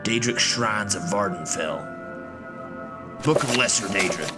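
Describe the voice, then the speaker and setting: Male gamer, lowish animated voice, casual swearing commentary, loud music underneath. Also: measured pace. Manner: profane, muttering to himself. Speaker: gamer streaming a playthrough.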